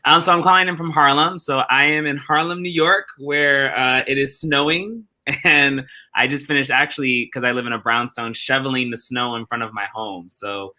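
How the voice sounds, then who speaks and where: A man's voice: mid-range voice, fairly even intonation, moderate pace, pushed and bright. A man chatting casually as a guest theatre director, calling in remotely for an interview. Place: remote call from home.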